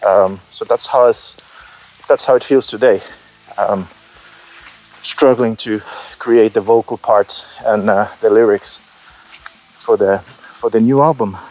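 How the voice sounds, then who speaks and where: A man talking through his creative doubts in an unscripted vlog, halting and self-critical, with many fillers and restarts. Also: lowish voice, a little mellow, poor audio. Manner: candid and self-critical, with occasional swearing. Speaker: solo musician vlogging his album process.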